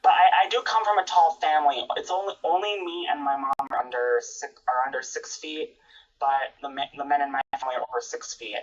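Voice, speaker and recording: A woman's voice: extremely low pitch, fairly even intonation, fairly quick, distant mic, highs cut off. A woman from Minnesota with a Minnesotan accent chatting casually, repeating and stammering as she talks. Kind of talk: casual chat.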